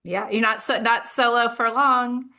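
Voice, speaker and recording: A woman hosting an interview as a business coach, talking in an animated way with quick bursts. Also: on the high side. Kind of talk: conversational interview.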